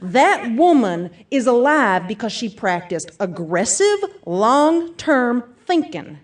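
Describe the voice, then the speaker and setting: A woman's voice: a little high, big pitch swings, slow pace, recorded from a distance, exaggerated voice. An actress playing a brash, upbeat self-defense seminar speaker who addresses her audience emphatically in an audio theatre piece. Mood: dramatic storytelling. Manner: emphatic, peppy motivational-speaker delivery, folksy asides.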